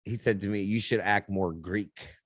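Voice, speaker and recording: A man hosting a casual podcast, telling stories in a slangy, animated way. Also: fairly low pitch, normal speed, no room sound, clean studio sound. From born in New York, raised in Pittsburgh. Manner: animated storytelling, slangy, acts out quotes loudly. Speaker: podcast host, radio and TV personality.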